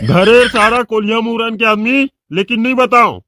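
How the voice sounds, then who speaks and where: A man's voice: extremely high pitch, steady tone, normal speed. A man voicing a taunting village man in a comedy cartoon, brusque and insulting, with a Chhattisgarhi accent. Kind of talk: comic cartoon dialogue. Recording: noisy track with clicks.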